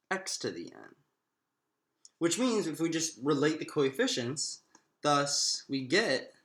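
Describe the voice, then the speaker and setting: Male maths lecturer, mid-pitched, informal and halting, thinking aloud step by step, roomy echo. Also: a bit bright. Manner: thinking aloud, halting, often restating himself. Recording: echoey room.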